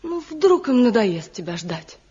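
An actress playing an anxious, pleading wife in a mountain village, acting earnestly in an old radio drama. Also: female voice, fairly high pitch, very animated, unhurried, warm tone, breathy edge, full character voice. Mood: pleading, troubled. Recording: dull, faintly noisy 1970 radio recording.

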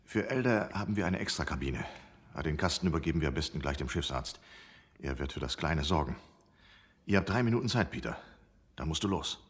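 An actor in a German radio drama playing a cautious, brisk male guide who gives terse, conspiratorial instructions in a husky, hushed voice. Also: a bass voice, animated, heavily acted. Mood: brisk, businesslike. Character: Duclos, cautious, brisk underground guide organising an escape.

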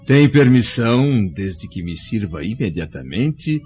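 An actor playing an old, blind, tender Roman senator in a radio drama, speaking slowly and with feeling. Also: male voice, lowish voice, very animated, a little mellow, a little hiss or hum. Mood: fatherly. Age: older. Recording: dull lo-fi audio with faint score.

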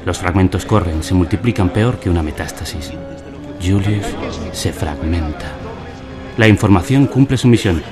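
An actor with a Spanish accent from Spain playing a deadpan narrator in a surreal satirical radio drama, speaking in clipped, declamatory phrases. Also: male voice, sits low, moderate pace, natural voice. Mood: grave, ominous. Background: music behind the voice.

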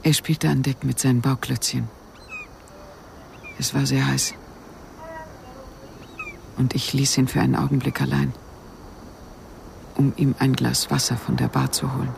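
An actress playing a guarded widow haunted by loss in a radio play, speaking in a measured, pausing way. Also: female voice, extremely low pitch, level tone, vocal fry, a little mellow, slight background noise, heavily acted.

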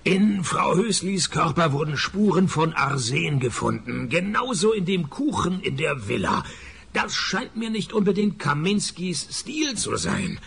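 Creaky, sardonic private eye. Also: male voice, on the high side, a little slow, heavily acted. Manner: deadpan wit. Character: dry, sardonic male private detective narrating his case. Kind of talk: comic radio play narration and dialogue.

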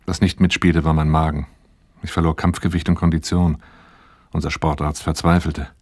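A troubled, dutiful man on a therapist's couch in a radio play. His voice is very low and clean, recorded close and dry. He recounts his past matter-of-factly, with bitterness underneath, slipping into remembered dialogue. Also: level tone, normal speed, a little mellow, no room sound. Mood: wry, resigned. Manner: matter-of-fact, reflective, acting out remembered dialogue. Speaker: protagonist and first-person narrator of a radio play. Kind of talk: 1980s German radio play acting, confessional monologue.

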